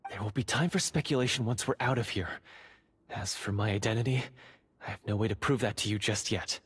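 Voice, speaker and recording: An actor playing a secretive male dungeon guard who is a covert ally, speaking in a hushed, urgent, earnest way. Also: on the low side, fairly quick, husky. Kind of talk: game voice acting.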